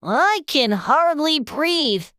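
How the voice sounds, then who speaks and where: A narrator voicing a sleepy, sulky little Dormouse in a storybook, complaining in a drawn-out way. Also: sits high, big pitch swings, sharp tone, dry recording, clean studio sound, voice pushed up, full character voice. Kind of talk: dramatized storybook character voice.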